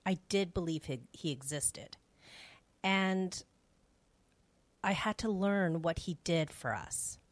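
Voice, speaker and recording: A woman's voice: lowish voice, takes it slowly, pauses often, dry recording. A middle-aged woman from Canada hosting a faith show, giving personal testimony in a conversational, reflective and earnest way. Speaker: host of a faith radio show.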